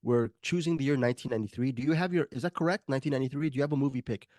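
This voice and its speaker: A man hosting a movie podcast over a video call, hoarse from a cold, joking and laughing through rambling questions to his co-hosts. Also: mid-range voice, rapid-fire, darkish tone, no room sound, clean studio sound. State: raspy voice from a cold. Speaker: podcast host.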